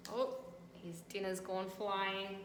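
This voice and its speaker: An Australian woman teacher reading a picture book aloud and gently pointing out details in the pictures. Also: mid-range voice, monotone, slow pace. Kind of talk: storybook read-aloud with commentary on pictures. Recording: echoey room, faint background noise.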